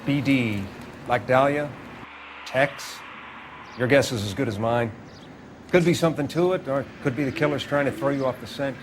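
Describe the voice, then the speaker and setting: Crime-scene coroner, male actor, mid-pitched, detached and clinical, game dialogue with clear ambient noise. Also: natural voice. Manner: measured, clinical. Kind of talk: cinematic video game acting.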